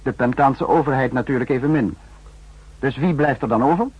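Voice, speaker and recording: An actor playing a calculating senior spymaster in a 1960s radio drama, speaking in a measured, probing, formal way. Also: male voice, medium pitch, a little mellow, lightly acted. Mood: cool, calculating. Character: calculating male spymaster, senior protector of secret service. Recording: dull, faintly husky 1960s radio recording.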